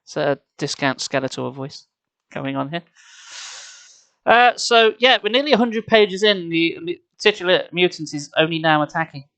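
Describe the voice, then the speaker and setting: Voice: medium pitch, lively intonation, moderate pace, fairly bright tone. A storyteller reading a children's book expressively, slipping in casual, wry and mocking asides. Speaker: storyteller, live streamer.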